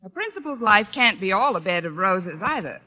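A woman's voice: mid-range voice, sing-song, brisk, bright, forceful tone. An actress playing a wry, wisecracking female English teacher in a 1940s radio sitcom, with dry, arch delivery. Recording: dull, noisy vintage radio recording.

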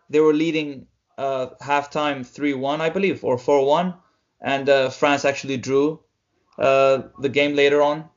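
A young man co-hosting a sports podcast, explaining results in a halting way with many fillers. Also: mid-range voice. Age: young adult. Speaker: podcast co-host. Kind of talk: casual sports chat. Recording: dull lo-fi audio.

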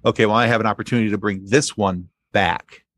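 A man's voice: on the low side, fairly even intonation, fast talker, fairly bright tone, no room sound. A man speaking as a podcast guest in a conversational fan discussion.

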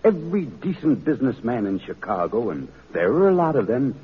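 A man's voice: mid-range voice, sing-song, a little fast, slightly rough. A man acting a kindly, confident businessman ally in a vintage radio drama, with an assured, reassuring delivery. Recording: tinny, narrow old radio recording.

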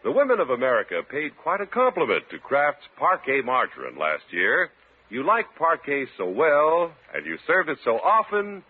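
A male announcer sells with polished emphasis on a tinny, hissy old radio recording. His mid-pitched voice rises and falls dramatically in classic commercial style. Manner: polished, emphatic sales delivery. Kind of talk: scripted vintage radio advertising.

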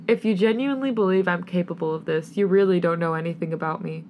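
A woman's voice: fairly low pitch, quick pace, a little mellow, a little hiss or hum, close to an everyday voice. A woman voicing a wounded young man in an audio story, an indignant boyfriend pleading and swearing in exasperation.

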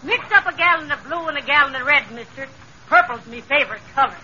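A woman's voice: sits high, animated, quick pace. A woman playing a bossy, teasing wife with an in 1930s radio comedy. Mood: scolding, wry. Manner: scolding, wry. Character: bossy, teasing wife riding along in a jalopy. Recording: muffled, noisy old radio recording.

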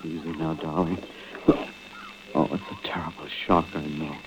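An actor playing an evasive, guilty husband in a vintage radio thriller, halting and nervous in his delivery. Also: very deep voice, flat delivery. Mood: grave, soothing. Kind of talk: 1940s-50s radio drama acting. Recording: dull, noisy vintage radio recording.

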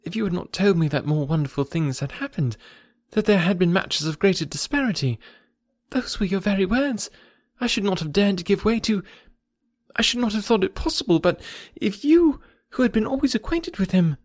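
Man voicing a gushing, high-pitched naive young woman. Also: very animated, moderate pace, no echo, studio quality. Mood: agitated, earnest. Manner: gushing and emphatic. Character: eager, naive, excitable young woman, breathlessly indignant. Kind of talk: classic novel audiobook character dialogue.

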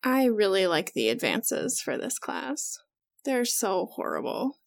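A woman's voice: medium pitch, big pitch swings, unhurried, gravelly. A woman chatting casually and informally as a podcast player, with "like" scattered through her sentences. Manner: informal, peppered with "like". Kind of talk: casual chat.

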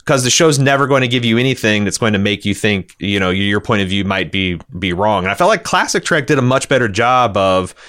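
A middle-aged American man with a fairly low voice co-hosts a podcast. He comments casually and candidly, circling his thoughts in halting, rambling phrases. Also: a bit bright. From Indiana, United States. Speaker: podcast co-host.

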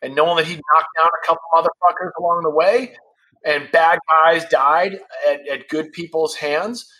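A man's voice: on the high side, fairly even intonation, moderate pace, bright, forceful tone, rough recording. A man in his fifties, a guest on a podcast, talking candidly and bluntly in casual conversation. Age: 50s. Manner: candid and blunt, swears freely. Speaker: podcast guest, founder of a supplement brand.

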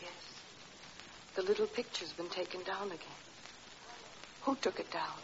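An actress playing an anxious, fragile wife in an old radio drama, earnest and hesitant. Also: female voice, fairly low pitch, a little slow, natural voice. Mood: anxious, pleading. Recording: dull, noisy vintage radio recording.

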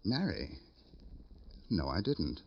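A man's voice: deep voice, big pitch swings, takes it slowly, lo-fi sound, heavily acted. A man acting a fussy, genteel, self-serving publisher in a classic radio drama, wordy and pompous. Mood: huffy, pompous. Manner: pompous, wordy, mannered, hemming.

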